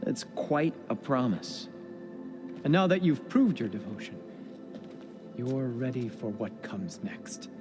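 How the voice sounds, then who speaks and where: An actor playing a suspicious, officious guard in a video game, stern and sneering toward outsiders. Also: male voice, medium pitch, very animated, slow, long pauses, over music. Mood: stern, haughty.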